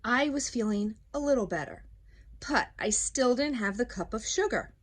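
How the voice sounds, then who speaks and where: A woman's voice: on the high side, expressive. A woman voicing a self-justifying wolf who tells his side of a children's storybook with folksy, aggrieved, dramatic delivery. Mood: mock innocent. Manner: dramatic, drawn-out storytelling with sudden swoops and hushes. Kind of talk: children's storybook read-aloud.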